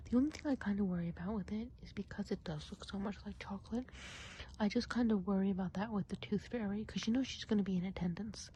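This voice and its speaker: Softly whispering, gossipy witch played by a woman. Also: medium pitch, a little hiss or hum, natural voice. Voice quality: soft breathy whisper. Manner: gossipy, confiding, hesitant casual phrasing. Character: chatty, gossipy woodland witch planning a fairy party. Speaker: ASMR performer. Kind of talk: whispered ASMR roleplay.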